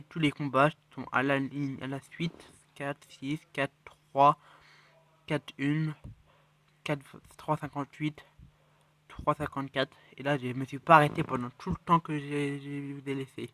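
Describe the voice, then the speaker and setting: A man with a cold talks through his mobile game replays in a rambling, excitable way, correcting himself and dropping into mutters. His voice sits a bit high. The sound is dry and close. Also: moderate pace, dry recording. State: has a cold. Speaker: mobile game channel host. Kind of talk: casual gameplay commentary.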